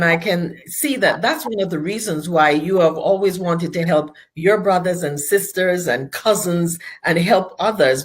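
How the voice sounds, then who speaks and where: Enthusiastic woman hosting, lowish voice. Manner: enthusiastic, encouraging. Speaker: host. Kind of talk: faith-based interview.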